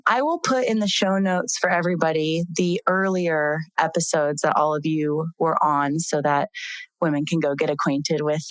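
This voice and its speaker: A woman hosting a podcast, enthusiastic and warm in her talk, with many fillers as she chats. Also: lowish voice, dry recording. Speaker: podcast host. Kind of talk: casual group podcast chat.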